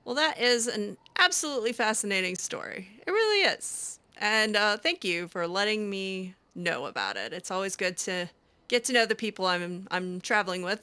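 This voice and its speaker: A woman's voice: mid-range voice, bright, forceful tone, clean tone, no room sound. A woman improvising conversational dialogue as she roleplays through a video game, chatty and a little hesitant. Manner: hesitant, chatty, reacting with short interjections. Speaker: roleplay gamer, channel host.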